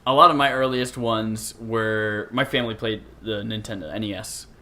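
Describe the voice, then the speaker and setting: Bright-voiced, lowish man in casual podcast chat. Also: fairly even intonation, moderate pace. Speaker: podcast host. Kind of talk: casual podcast chat about video games.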